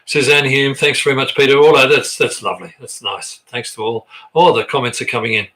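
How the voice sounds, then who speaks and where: A man's voice: medium pitch, steady tone, a little fast, sharp tone. An Australian man leading a live online choir rehearsal, chatting on a sensitive computer mic as he reads viewers' comments aloud with lots of restarts. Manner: chatty, rambling, with frequent restarts and fillers. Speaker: choir director. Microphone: sensitive computer microphone.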